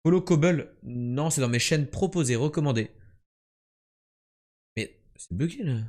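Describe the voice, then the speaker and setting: A man's voice: on the high side, expressive, a little slow, a little mellow. A young man from France streaming word and geography games, joking and boasting as he chats with his viewers. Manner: joking, boastful, chatty with the chat. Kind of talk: casual live-stream commentary.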